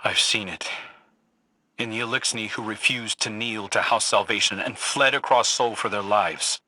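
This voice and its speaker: An actor playing an earnest, remorseful guardian seeking redemption in a game, speaking persuasively with a husky, intense voice. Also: male voice, lowish voice, fairly even intonation, normal speed, sharp tone, dry recording. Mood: stern. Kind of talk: dramatic game dialogue.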